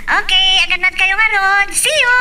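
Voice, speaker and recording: A woman's voice: very high voice, normal speed, far from the mic, noisy background. A woman playing a bubbly, teasing young friend in an Ilocano audio drama. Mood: bubbly, surprised.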